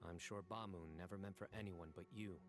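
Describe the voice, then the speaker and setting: An actor playing a stern, composed young general in a video game, speaking in a measured, formal and deliberate way. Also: male voice, deep voice, steady tone, over music, natural voice. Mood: calm, resolute. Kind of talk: scripted video game dialogue.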